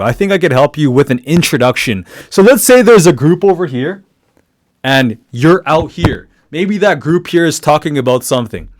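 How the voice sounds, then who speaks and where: Loud, deep-voiced man giving casual pep talk. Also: dry recording. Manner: casual, encouraging, with many fillers and restarts. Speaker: podcast speaker giving networking advice. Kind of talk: motivational talk.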